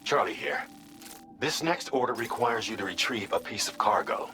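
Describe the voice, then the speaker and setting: A man's voice: mid-range voice, animated, normal speed, pushed and bright, soft background music. An actor playing a businesslike mission briefer in a video game, formal, precise and composed as he lays out orders.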